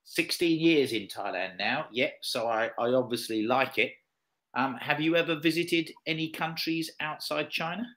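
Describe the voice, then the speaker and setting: A man's voice: on the high side, normal speed, a bit bright. A man in his fifties with an English accent, teaching one-to-one conversation and repeating things to check with a learner. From England. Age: 50s. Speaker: English tutor. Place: online video lesson call.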